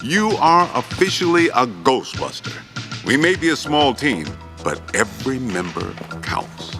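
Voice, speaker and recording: An actor playing a genial, authoritative boss in a video game, speaking formally with dry humour. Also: male voice, medium pitch, big pitch swings, measured pace, gravelly, over music. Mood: proud, genial. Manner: formal, dryly humorous. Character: wry, genial, authoritative male company boss.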